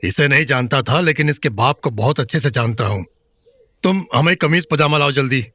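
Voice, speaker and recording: A stern husband snaps out brief commands, played by a man. His voice is bright and forceful at a middle pitch and sounds close and dry. Soft music plays under the audio drama. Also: a little fast, no room sound. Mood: curt. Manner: curt, commanding.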